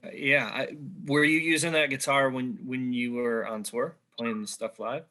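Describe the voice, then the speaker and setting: A man with a bright, forward voice hosts an interview over a video call. He talks at length, stacking fillers and restarts as he works toward his questions. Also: medium pitch, flat delivery, measured pace. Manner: talkative, rambling, filler-heavy. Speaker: interviewer, host. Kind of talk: conversational interview.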